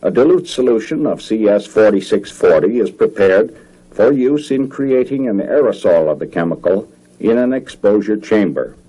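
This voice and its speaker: An American man reading scripted narration for a 1950s government educational film in a formal, measured, clearly enunciated manner. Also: fairly low pitch, dark tone, poor audio.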